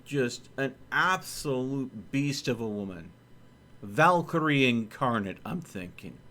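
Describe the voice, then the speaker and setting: A man's voice: medium pitch, lively intonation, takes it slowly, bright, forceful tone, a little hiss or hum. Man chatting casually on a game stream. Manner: rambling, thinking aloud. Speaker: game livestream host. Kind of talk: casual livestream chat.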